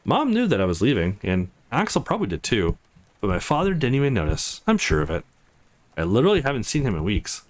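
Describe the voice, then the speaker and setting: A man plays a young woman from a visual novel, wry and teasing. The delivery is casual and a little defiant, with pitch that swings widely. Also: huge pitch swings, quick pace. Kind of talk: visual novel dialogue read aloud.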